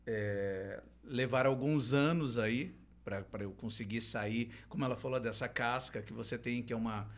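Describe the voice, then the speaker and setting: A middle-aged man from Londrina in Brazil talks about his years in theater and clowning. His voice sits at a medium pitch. He hesitates often, restarting phrases and padding them with fillers as he recalls things. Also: moderate pace, hardly any pauses. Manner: hesitant, many restarts and fillers, laughs easily. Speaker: guest, clown and theater teacher. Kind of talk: casual storytelling interview.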